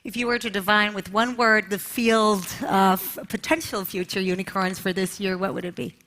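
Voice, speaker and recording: A woman's voice: mid-range voice, fairly even intonation, normal speed, a bit bright. A woman moderating a conference panel and award ceremony, introducing guests and asking upbeat questions. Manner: upbeat, leading questions, announcing names. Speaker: event moderator. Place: conference stage.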